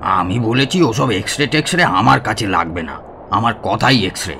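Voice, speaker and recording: Boastful, low-voiced village healer. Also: male voice, animated, normal speed, creaky, sharp tone, slight background noise, heavily acted. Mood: boastful, casual. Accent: Bengali. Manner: boastful, folksy, chatty. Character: boastful village tantric healer, garrulous storyteller of ghost tales. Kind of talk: Bengali audio drama acting.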